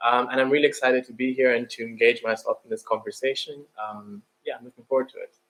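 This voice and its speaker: A man from Uganda speaks as a panel guest. His voice sits at a medium pitch and moves very little up or down. The delivery is earnest and conversational. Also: fairly even intonation, fast talker, a bit bright, rough recording.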